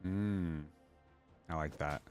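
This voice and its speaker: A man's voice: deep voice, slow pace, darkish tone, soft background music. A man hosting a reaction video, giving casual, exclamatory commentary and sounding easily impressed.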